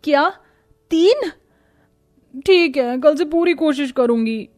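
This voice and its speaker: A woman's voice: sits high, animated, takes it slowly, darkish tone, faint music behind. A woman voicing a drowsy, naive young bride in a cartoon family story. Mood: apologetic.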